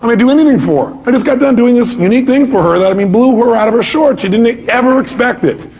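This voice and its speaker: A man's voice: extremely high pitch, quick pace, darkish tone. A man speaking as a motivational seminar leader, emphatic, putting rhetorical questions to his listeners, repeating key points and acting out reactions.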